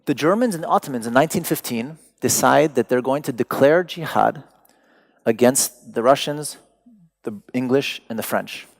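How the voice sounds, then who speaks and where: Casting note: gravelly-voiced man, native Persian speaker, lecturing with dry humor. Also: mid-range voice, moderate pace. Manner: wry, digressive, joking asides. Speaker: artist giving a talk. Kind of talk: lecture to an audience.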